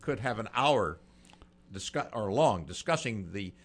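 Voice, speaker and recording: A man's voice: fairly low pitch, expressive, a little slow, breathy edge. An older man chats casually in short, plain, folksy phrases. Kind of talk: casual chat.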